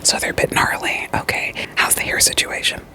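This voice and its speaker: A woman playing a calm, reassuring therapist in an ASMR roleplay, whispering softly and breathily with gentle, deliberate questions. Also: close to an everyday voice. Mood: gentle, probing. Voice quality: soft, breathy, mostly unvoiced whisper. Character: calm, reassuring female therapist screening patients for werewolves.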